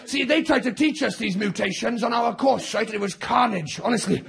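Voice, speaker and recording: A man's voice: high voice, moderate pace, vocal fry, a bit bright. A Welsh man doing stand-up comedy as host, chatting and teasing the audience with mock-serious stories, on a theatre stage. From Wales. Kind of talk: stand-up comedy with crowd work. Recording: live theatre show with crowd noise.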